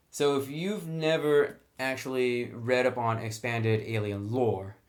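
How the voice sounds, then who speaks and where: A man with a mid-pitched voice talks through a review in a casual, conversational way. He sounds enthusiastic about his material, explaining it informally as he goes. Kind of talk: casual tabletop rulebook review.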